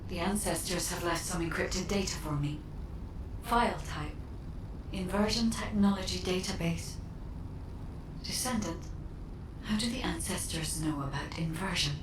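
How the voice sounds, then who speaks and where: A low-voiced female game AI, acted by a woman, calm and precise. She speaks in measured, formal phrases, heard from a distance with echo over a loud rumble. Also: close to an everyday voice. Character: calm, precise female artificial intelligence guide. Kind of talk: scripted game dialogue.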